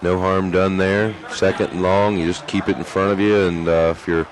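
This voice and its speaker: A man doing color commentary on a high school football game, chatty with lots of fillers, his voice worn from shouting. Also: level tone, normal speed, rough recording. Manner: chatty, filler-heavy explaining. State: losing his voice. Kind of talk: analytical sports color commentary.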